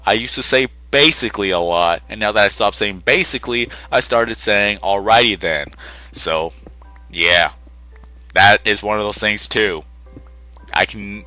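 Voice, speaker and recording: A man's voice: sits low, sharp tone. A young man hosting a casual gaming channel update, rambling and correcting himself as he goes, a little sick, congested and short on sleep. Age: young adult. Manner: rambling, self-correcting, conversational.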